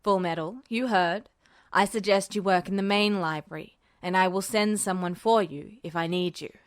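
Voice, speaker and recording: A woman's voice: mid-range voice, moderate pace, no room sound, clean studio sound, pitched below the performer's own voice, natural voice. A woman voicing a stern, domineering male military superior officer who speaks in curt orders. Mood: commanding. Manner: curt and commanding.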